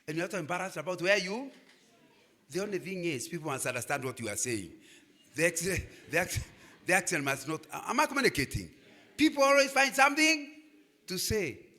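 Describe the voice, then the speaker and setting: Older Kenyan man, lively preacher to students, bright and high-pitched. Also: brisk. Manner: animated preaching, asks "Am I communicating?". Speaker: guest speaker.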